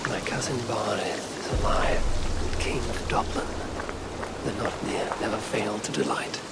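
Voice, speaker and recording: A man's voice: lowish voice, a little slow, heavy background noise. A man playing a stoic, plain-spoken Viking warrior in a video game, with a terse, direct way of talking. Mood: quietly pleased. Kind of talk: scripted video game dialogue. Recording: loud music behind the voice.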